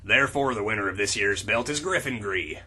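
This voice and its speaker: A man acts out a stately male wizard headmaster in a comic fan fiction reading. The voice drops low and a little husky, with formal, ceremonial announcing. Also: fairly quick, bright, forceful tone, full character voice.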